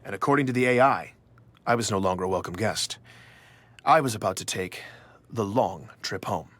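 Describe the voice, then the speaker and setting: A man's voice: fairly low pitch, lively intonation, moderate pace, a bit bright, no echo. An actor playing an investigative journalist, narrating his own story in an audio drama with a dry, confiding manner. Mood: wry, weary. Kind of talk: first-person scripted narration for audio drama.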